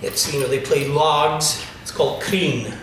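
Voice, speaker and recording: Male drum teacher from Italy, slightly high voice, rambling casual explanations, far-off mic in a reverberant room. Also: unhurried. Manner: chatty, explanatory, lots of fillers. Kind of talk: casual interview answers. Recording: echoey room, distant mic, noisy.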